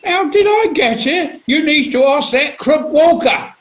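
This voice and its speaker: A man's voice: extremely high pitch, animated, unhurried, a bit bright, heavily acted. A man playing a belligerent, indignant castaway full of complaints in a comic radio play, on a muffled recording. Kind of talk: British comedy radio play acting.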